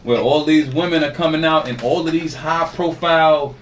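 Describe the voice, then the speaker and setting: A man's voice: on the high side, moderate pace, far from the mic. A man co-hosting a casual podcast chat, laid-back, slangy and joking. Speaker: co-host. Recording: off-mic with faint room noise.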